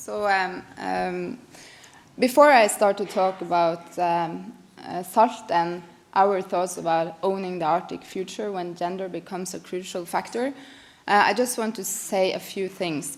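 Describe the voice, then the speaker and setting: A woman in her thirties from northern Norway giving a conference presentation, informal and hesitant with frequent fillers. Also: mid-range voice. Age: 30s. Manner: informal, hesitant, some laughter. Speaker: conference speaker. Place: conference hall.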